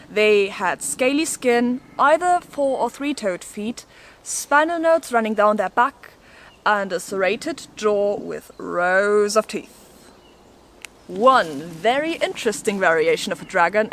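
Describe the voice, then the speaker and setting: Playful high-voiced woman presenting an explainer. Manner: playful, lightly theatrical. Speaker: video host presenting an educational explainer. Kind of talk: scripted narration with casual asides. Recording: noticeable background noise.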